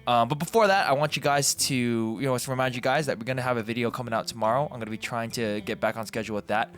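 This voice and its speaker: A man's voice: medium pitch, fast, a bit bright. A Canadian man hosting a casual, rambling commentary, informal and digressive, often correcting himself as he goes. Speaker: video host. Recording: background guitar music. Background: guitar music.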